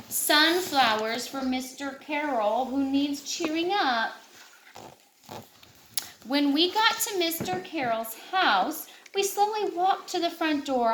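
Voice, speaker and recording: A woman reading a children's picture book aloud with an expressive, lilting delivery. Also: high voice, slight background noise. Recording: echoey room.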